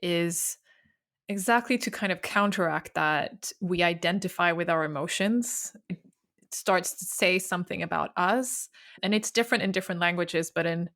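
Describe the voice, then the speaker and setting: Lower-voiced woman host. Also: normal speed, fairly bright tone. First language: Swedish. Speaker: podcast host. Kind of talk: casual podcast conversation.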